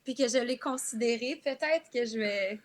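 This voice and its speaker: A woman's voice: fairly high pitch, moderate pace. A woman with a Quebec French accent, chatting casually as a guest singer in an interview.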